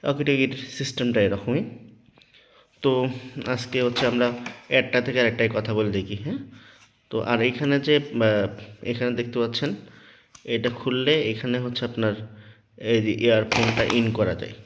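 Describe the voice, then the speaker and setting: A man's voice: medium pitch, moderate pace, pauses often. A man with a Bangladeshi accent giving an informal hands-on tutorial, explaining step by step, repeating himself and often checking that listeners follow. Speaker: product demonstrator. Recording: noticeable background noise, small clicks.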